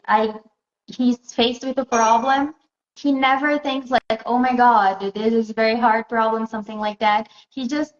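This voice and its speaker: A woman from Southeast Brazil, answering interview questions in a hesitant way and pausing often to find her words. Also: fairly high pitch. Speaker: interview guest. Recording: echoey, muffled sound.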